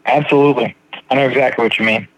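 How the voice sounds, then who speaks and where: A man hosting a casual podcast interview, halting and repeating words with many ums and likes. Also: mid-range voice, fairly even intonation, no room sound, low-quality recording. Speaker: podcast host.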